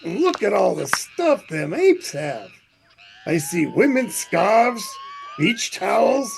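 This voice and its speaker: A man acts out a dim, blustering male tourist for a forties radio comedy. His delivery is slow and very animated, with some creak in it. He exclaims and wisecracks. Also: high-pitched, background noise, exaggerated voice. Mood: blustery, indignant. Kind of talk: 1940s radio comedy acting.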